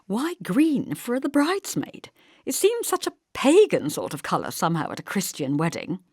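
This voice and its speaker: A woman's voice: medium pitch, huge pitch swings, moderate pace, a little mellow, breathy edge, dry recording, clean studio sound, pitched above the performer's own voice. A woman voicing a snobbish, probing middle-aged mother of the groom in an audiobook, with genteel disapproval. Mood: probing, disapproving.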